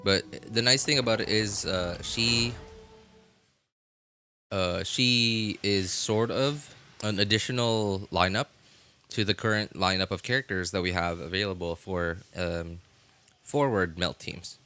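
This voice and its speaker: A man chatting casually on a game livestream, often halting and rephrasing as he answers viewers. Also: sits low, steady tone, bright, forceful tone. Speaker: live streamer. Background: music.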